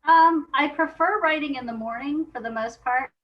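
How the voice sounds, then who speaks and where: A woman's voice: high voice, moderate pace, highs cut off. A woman answering questions as an interview guest, chatting informally and thinking aloud. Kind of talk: casual chat.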